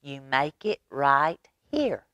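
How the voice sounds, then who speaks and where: A loving, playful mama from a storybook, read by a woman. She speaks very slowly in a low, smooth voice, drawing words out with gentle, folksy teasing. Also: big pitch swings, no room sound, highs cut off, exaggerated voice.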